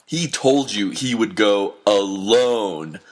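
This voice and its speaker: A man acting a slow, bitter, low-voiced cynic. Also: animated. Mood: scornful. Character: bitter, scornful man, contemptuous of quitters. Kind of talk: audio drama acting.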